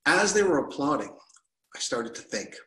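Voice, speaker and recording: Animated man telling a story. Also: a little high, moderate pace, roomy sound. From Canada. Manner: animated, gestures a lot with both hands. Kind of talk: personal storytelling to an online audience. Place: living room at home. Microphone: video call webcam.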